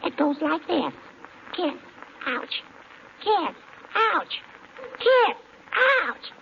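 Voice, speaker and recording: Very high, bratty little girl. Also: sing-song, extremely slow, creaky, full character voice. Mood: gleeful. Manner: cutesy childish delivery of smart-aleck comebacks. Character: bratty, wisecracking little girl, three and a half. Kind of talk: 1940s radio comedy acting. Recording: dull, noisy 1940s radio recording, audience. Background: studio audience.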